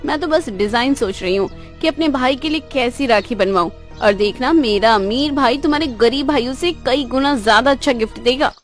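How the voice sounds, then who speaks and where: A woman's voice: high-pitched, brisk, few pauses, faint music behind, lightly acted. A woman voicing a spoiled, boastful rich young woman in a cartoon, taunting in a showy, exaggerated way. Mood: boastful, scornful. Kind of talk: cartoon moral-story dialogue in Hindi.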